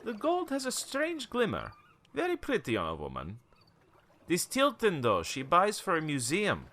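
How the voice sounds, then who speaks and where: A man's voice: sits high, huge pitch swings, normal speed, bright, forceful tone, exaggerated voice. An actor playing a wary, cynical old townsman who tells cryptic stories in a radio play, in a theatrical, rambling way. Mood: reminiscing. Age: older. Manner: cryptic, theatrical, rambling storytelling with knowing chuckles. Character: wary, cynical old male townsman, former shopkeeper, storyteller.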